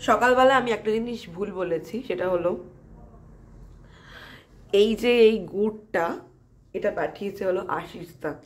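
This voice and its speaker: A woman's voice: mid-range voice, moderate pace, pauses often, slightly rough. A young adult woman with a Bengali accent talking casually as a home vlogger, mixing in English phrases. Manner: mixes English phrases into talk. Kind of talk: casual home vlog chat.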